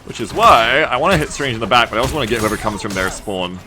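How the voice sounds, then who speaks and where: A man's voice: medium pitch, expressive, fast talker. A man from Sydney with a mixed Australian accent, giving casual live commentary as he streams a game. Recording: game music behind the voice. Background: video game music and effects.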